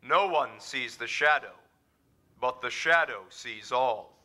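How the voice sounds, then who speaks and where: Grim invisible avenger, very sharp forceful tone, slow menacing delivery, mocking, commanding, old radio drama. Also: male voice, mid-range voice, animated. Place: live stage performance at a convention.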